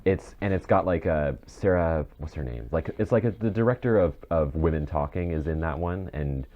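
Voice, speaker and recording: Very deep-voiced man, rambling podcast host. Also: lively intonation, normal speed. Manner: rambling, repeats and restarts phrases. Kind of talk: casual chat about film and TV. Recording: dull muffled mic with faint noise.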